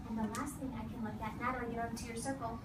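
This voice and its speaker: A woman teaching and reading aloud to young children, giving firm short directions and deliberately repeating key phrases. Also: a little high, flat delivery. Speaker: elementary school teacher. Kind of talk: classroom read-aloud and instruction to young children. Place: classroom full of seated children. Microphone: distant camera across the room. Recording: echoey, noisy classroom recorded from far off.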